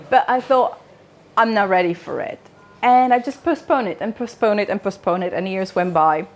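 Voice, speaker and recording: A woman's voice: medium pitch, lively intonation, moderate pace. A woman from Romania with a Romanian accent, giving an informal talk in a conversational way, with joking asides and questions to her listeners. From Transylvania, Romania. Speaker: speaker at a wellness talk. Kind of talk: informal public talk, personal storytelling.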